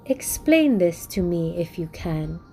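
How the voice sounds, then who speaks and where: Woman as slow, wise elder sea creature. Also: fairly low pitch, big pitch swings, gravelly, mellow, faint music behind, heavily acted. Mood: soothing. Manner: slow, firm, encouraging.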